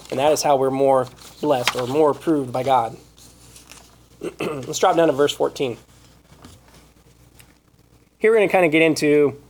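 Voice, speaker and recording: A man preaching a Bible study sermon, reading scripture aloud and asking rhetorical questions, with his voice going hoarse. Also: mid-range voice, darkish tone. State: losing his voice.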